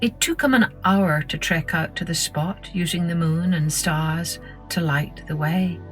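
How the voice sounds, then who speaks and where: A woman with a slightly low voice reads a children's story. Her delivery is measured and expressive, in the manner of a storytelling podcast host. Music plays clearly behind her. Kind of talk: scripted children's story narration.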